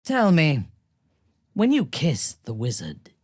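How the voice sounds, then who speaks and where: An actress voicing a ruthless, haughty female warrior commander in a video game, with a husky, breathy voice and an imperious, menacing delivery. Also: low-pitched, wildly swooping pitch, slow pace, a little mellow, no room sound, studio quality, exaggerated voice. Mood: imperious, scornful. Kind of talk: dramatic video game voice acting.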